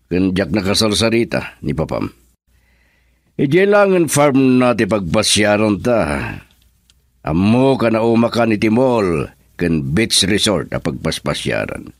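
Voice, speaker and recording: A guarded Filipino husband and businessman in a radio drama. His voice sits low and moves expressively up and down, recorded dry. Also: dry recording. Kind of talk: Ilocano radio drama acting.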